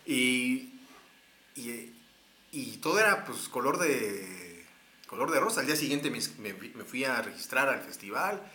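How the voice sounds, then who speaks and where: A man's voice: mid-range voice, big pitch swings, measured pace, sharp tone, reverberant. A man with a Mexican accent, telling a long story casually with slang, fillers and restarts. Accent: Mexican Spanish. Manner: rambling, many fillers and restarts.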